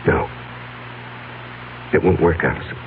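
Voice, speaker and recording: An actor playing an anguished businessman with a secret convict past in an old-time radio drama, halting and agitated. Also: natural voice. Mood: grim, desperate. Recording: muffled, noisy old radio broadcast recording.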